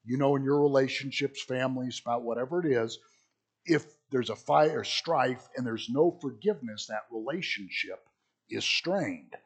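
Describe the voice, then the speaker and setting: Hoarse middle-aged guy pastor, husky scratchy voice, chatty and jokey teaching sunday school in a little church. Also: medium pitch, moderate pace. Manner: casual, joking, with asides and restarts. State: hoarse from illness. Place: small church.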